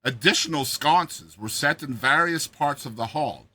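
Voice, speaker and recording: A man narrating a classic short story in a dramatized audiobook style, with deliberate, theatrical phrasing. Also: fairly high pitch, pushed and bright.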